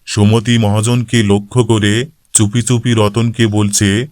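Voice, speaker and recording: A man's voice: lowish voice, flat delivery, unhurried, a little mellow, no echo, studio quality. A man reading scripted narration for a cartoon moral story as the storyteller.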